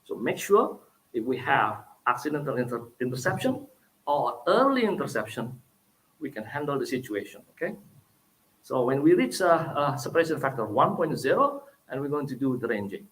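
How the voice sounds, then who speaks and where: A man with an Indonesian accent giving a technical conference presentation, explaining step by step and often tagging lines with "okay?" and "right?", with some halting fillers. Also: medium pitch, big pitch swings, fairly bright tone, low-quality recording.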